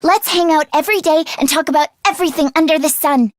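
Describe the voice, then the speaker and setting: A woman voicing an earnest, excitable teenage girl fighter in a video game, caring and anxious, with expressive, emotive delivery. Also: high-pitched, quick pace, dry recording, clean studio sound, natural voice. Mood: protective. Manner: expressive and emotive, with sighs and gasps. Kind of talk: English video game voice acting.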